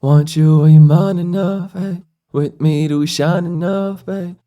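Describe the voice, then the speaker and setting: A man singing soft R&B lines with heavy auto-tune. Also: high-pitched, fairly even intonation, a little slow. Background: his own backing beat.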